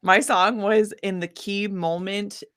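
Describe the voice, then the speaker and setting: A woman speaking casually as an interview guest, an independent musician who tells stories in an animated way and acts out quoted dialogue. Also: mid-range voice, slow, dry recording, clean studio sound. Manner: animated storytelling, often acting out quoted dialogue.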